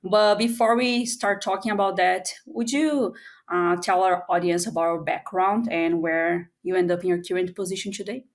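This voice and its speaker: Woman podcast host with a mid-pitched voice. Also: moderate pace. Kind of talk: conversational interview hosting.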